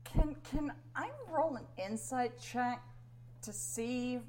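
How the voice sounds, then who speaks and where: A woman's voice: fairly high pitch, takes it slowly, background noise. A woman playing a tabletop role-playing game, chatty and giggly. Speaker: player. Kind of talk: tabletop role-play chat.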